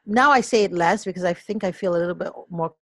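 A middle-aged woman, a coach and podcast guest, telling stories in an animated way and acting out other people's voices. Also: on the low side, fast, no room sound, clean studio sound. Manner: animated storyteller, acts out voices. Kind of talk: conversational podcast storytelling.

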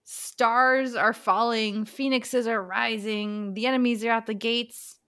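A woman's voice: a little high, steady tone, takes it slowly, sharp tone. A woman co-hosting a book recap podcast in casual, playful, informal language.

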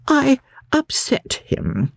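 A peevish invalid gentleman from a classic novel, as acted out by a male reader, drawling and put-upon. His gravelly voice swoops widely up and down with weary self-pity. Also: extremely high pitch, huge pitch swings, extremely slow, no echo, pitched above the performer's own voice, heavily acted. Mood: aggrieved. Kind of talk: dramatic audiobook reading of classic literature.

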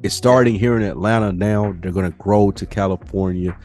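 A man with a fairly low voice talks casually as a podcast co-host. His speech is slangy and sweary, and he puts his opinions bluntly. A little music sits in the recording. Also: fairly even intonation, a little fast, darkish tone. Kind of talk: casual conversational podcast talk.

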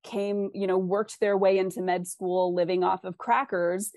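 A woman's voice: mid-range voice, level tone, normal speed, darkish tone, no room sound, studio quality. A woman speaking conversationally as an interview guest, explaining writing craft articulately with examples. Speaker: interview guest, fiction author.

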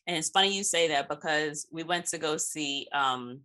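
A woman's voice: sits low, moderate pace, sharp tone. A woman hosting a podcast in casual chat, speaking informally and emphatically and swearing freely. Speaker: podcast host.

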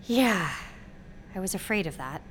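A woman's voice: mid-range voice, animated, a little slow, a little hiss or hum, lightly acted. An actress playing a sheltered, anxious young female college student who speaks candidly in a naturalistic audio drama. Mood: anxious, confiding. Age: young adult.

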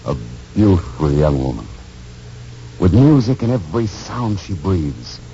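Very deep, earnest gentleman Major. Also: sing-song, soft background music, exaggerated voice. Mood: earnest, fervent. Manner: formal, halting, earnest and theatrical. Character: gentle, grave older Major explaining a miracle. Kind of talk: 1940s radio drama acting. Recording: muffled, noisy 1940s radio recording.

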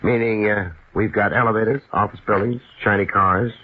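Actor as a low-voiced wry American private eye, old radio. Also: male voice, fairly even intonation, normal speed, lightly acted. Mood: wry, easygoing. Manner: dry, wisecracking, casual. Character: wry, laid-back male private investigator, unflappable wisecracker. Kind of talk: 1950s radio detective drama acting. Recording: tinny, noisy 1950s radio recording.

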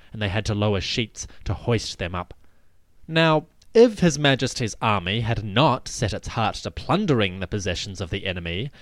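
A man giving a scripted, dramatic narration of ancient history in a measured, solemn storytelling manner. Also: fairly low pitch, no room sound. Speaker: podcast host and narrator.

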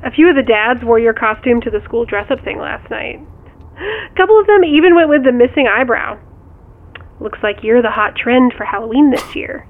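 Casting: playful, chatty friend on a call, higher-pitched, tinny radio filter, audio drama. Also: female voice, expressive, normal speed. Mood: teasing. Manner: playful, teasing. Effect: radio or phone filter. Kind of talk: naturalistic audio drama dialogue. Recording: tinny, narrow-band radio sound.

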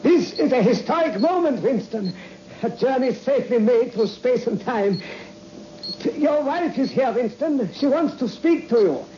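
An authoritative mission controller in a vintage radio play. He declaims formally and commandingly, with very animated pitch movement. The old recording is dull and clearly noisy. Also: measured pace. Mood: proud, official. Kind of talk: old-time radio drama acting.

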